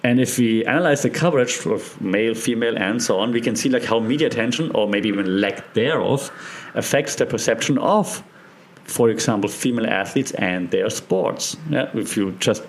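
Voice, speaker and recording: A man's voice: medium pitch, normal speed, slight background noise. A man with a German accent talks through ideas as a podcast host, in a loose, conversational way. He keeps checking in with his listeners as he explains. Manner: chatty, checks in with listeners often.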